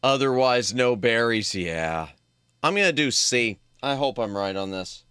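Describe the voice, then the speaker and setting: A man commentating on a game live for his chat, joking and animated. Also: medium pitch, unhurried, clear, resonant tone, dry recording, studio quality. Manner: jokey, animated, talks to chat. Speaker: streamer.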